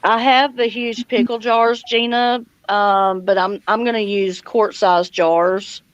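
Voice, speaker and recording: A woman's voice: medium pitch, fairly even intonation, slow, no echo, muffled recording. A middle-aged woman hosting a casual livestream, chatting and answering viewer comments. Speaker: livestream host and homesteading vlogger.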